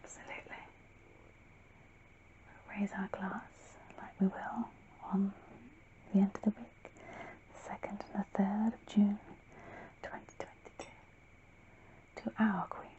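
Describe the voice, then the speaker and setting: A woman whispering gently and soothingly in an ASMR roleplay, breathy and unhurried, chatting to the listener. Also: medium pitch, level tone, highs cut off. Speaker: ASMR host.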